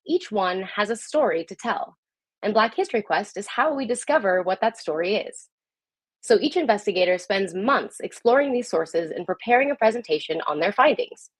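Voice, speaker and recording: A woman's voice: mid-range voice, slightly rough, no echo. Woman hosting, with close, dry sound. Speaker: host of a history livestream show. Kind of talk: scripted show introduction and interview.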